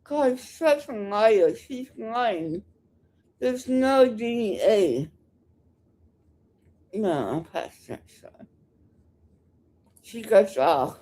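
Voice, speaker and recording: A woman's voice: mid-range voice, very animated, very slow, pauses often, mellow. A woman making unscripted reaction commentary, heckling in a combative, scornful and curt manner.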